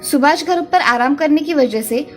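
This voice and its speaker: A woman's voice: high-pitched, normal speed. A woman reading a scripted children's story as its narrator. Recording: music under the voice.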